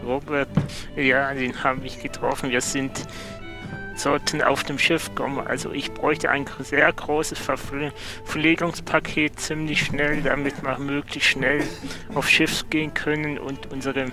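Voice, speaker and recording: A man's voice: medium pitch, level tone, unhurried, music in the background, rough recording. A man improvising as a player in an audio role-play, describing what he does. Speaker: guest player, listener of the show.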